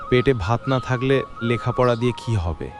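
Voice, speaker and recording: Casting: male actor for worried, respectful cartoon villager, medium pitch, hesitant, clear music behind. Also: expressive, fairly quick, close to an everyday voice. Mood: doubtful, worried. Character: doubtful, practical poor villager, respectful to his lord. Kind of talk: acted cartoon dialogue.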